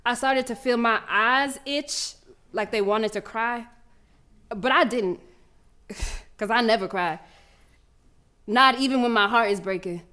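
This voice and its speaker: High-voiced, fierce young girl. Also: takes it slowly, pauses often. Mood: tender, hurt. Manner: animated, brash, streetwise storytelling. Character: fierce, proud young girl runner telling her own story. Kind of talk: dramatic first-person monologue.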